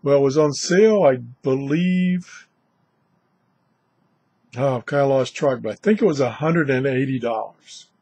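A man's voice: mid-range voice, unhurried, long pauses, mellow, husky, no echo. An older man hosting a casual, unscripted product vlog, chatting in a rambling way. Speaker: video host reviewing shortwave radios. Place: indoors, small cluttered radio room.

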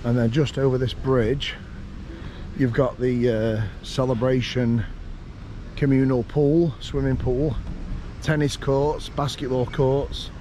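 Chatty man with a mellow voice. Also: mid-range voice, slow, slight background noise. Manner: relaxed, chatty, pointing things out. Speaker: vlogger. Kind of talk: casual walking tour commentary. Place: outdoors walking around a lakeside town.

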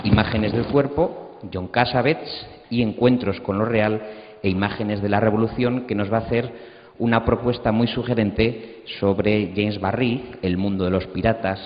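A middle-aged man with a Castilian Spanish accent, moderating a panel and introducing its speakers into a handheld microphone on an auditorium stage. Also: lowish voice. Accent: Castilian Spanish from Spain. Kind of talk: introducing panelists at a round table. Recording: muffled, reverberant auditorium recording.

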